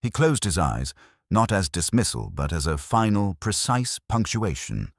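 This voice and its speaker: Male narrator for detective audiobook, extremely low register, lively intonation, close dry sound. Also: measured pace, dry recording. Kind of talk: scripted first-person detective narration.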